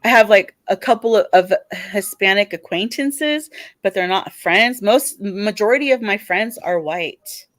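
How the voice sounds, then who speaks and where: A woman's voice: mid-range voice, lively intonation, a little slow, fairly bright tone, dry recording. A woman with a Californian accent, calling in to a live debate show, talking hesitantly with many restarts and fillers.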